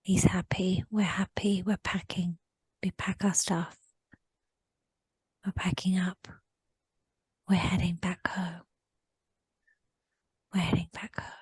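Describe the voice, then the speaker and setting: A woman whispering a slow first-person recollection in a guided session, often repeating short phrases. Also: fairly low pitch, level tone, a little mellow, husky, dry recording.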